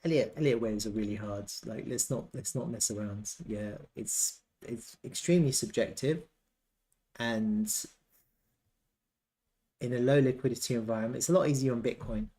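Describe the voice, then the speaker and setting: A man's voice: medium pitch, normal speed, warm tone. A man hosting a live market analysis, explaining step by step and repeating key points for emphasis. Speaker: live stream host and trading educator. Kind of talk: live chart analysis commentary.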